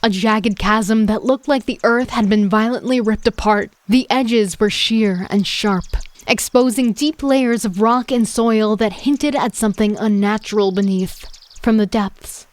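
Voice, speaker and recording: Casting: woman narrator, first-person horror, measured, grave. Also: medium pitch. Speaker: narrator and lead character.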